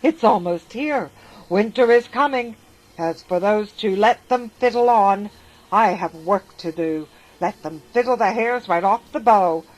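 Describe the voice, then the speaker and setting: A woman voicing a stingy, hard-working male ant who shouts insistently, with faint music behind. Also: mid-range voice, unhurried, close to an everyday voice, poor audio. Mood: shouting.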